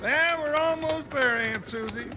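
An actor playing a folksy, wisecracking American cowboy sidekick in an old radio Western. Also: male voice, very high voice, unhurried, music in the background. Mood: easygoing, jokey. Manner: folksy drawl, jokey. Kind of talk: 1950s old-time radio Western acting. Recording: noisy old radio recording.

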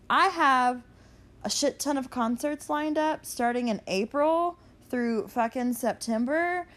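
Young woman, solo podcast host, unhurried delivery, blunt and profane casual venting. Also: fairly high pitch.

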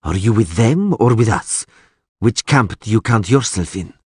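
Man as a male elf prisoner, sardonic, articulate, measured, husky, lively intonation, audiobook character. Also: sits low, dry recording, exaggerated voice. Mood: sneering. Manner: dry sarcasm, measured, precise wording.

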